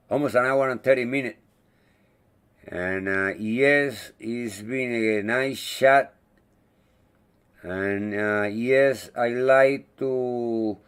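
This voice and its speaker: Smooth-voiced man hosting a live chat. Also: mid-range voice. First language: not English. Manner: halting, searching for words; friendly, thanks viewers by name. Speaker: livestream host. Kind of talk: casual live-chat monologue.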